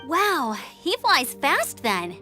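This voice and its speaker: Very high, perky young heroine. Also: female voice, huge pitch swings, very slow, bright, forceful tone, faint music behind, exaggerated voice. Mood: confident, eager. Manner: upbeat, encouraging, eager. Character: perky, confident young female gliding instructor and scout. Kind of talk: video game voice acting.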